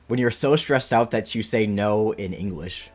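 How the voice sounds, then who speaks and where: A man's voice: lowish voice, moderate pace, soft background music. A man in his late twenties chatting casually and playfully as he streams a game, rambling and interrupting himself. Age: late 20s. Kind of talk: casual live gaming commentary and chat.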